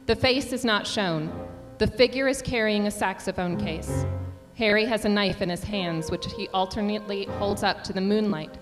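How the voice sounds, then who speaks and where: A woman's voice: mid-range voice, normal speed, hardly any pauses. Woman narrator in a reverberant hall. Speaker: narrator reading stage directions. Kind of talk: scripted narration at a staged reading. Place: performing arts center stage. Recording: reverberant theater hall, music behind.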